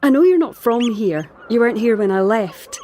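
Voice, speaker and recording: A woman playing a troubled, defensive island woman in an audio drama, speaking in a halting, distressed way. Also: on the high side, sing-song, mellow, a little hiss or hum. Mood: defensive, bitter.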